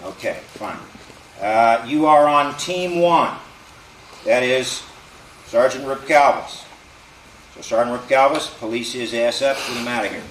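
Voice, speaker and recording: An American man working as a military drill instructor, calling the roll and assigning teams in a commanding, gruff, informal and salty way. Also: mid-range voice, unhurried, pauses often, a bit bright. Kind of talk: roll call and team assignments. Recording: echoey space with faint background noise.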